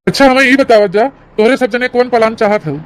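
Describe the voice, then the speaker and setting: A high-voiced cartoon insurance agent , a man acted by a woman, speaks with an Awadhi accent. He explains patiently and persuasively, in the homely, colloquial patter of a village salesman. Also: quick pace, slight background noise, close to an everyday voice. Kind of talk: Awadhi family comedy cartoon.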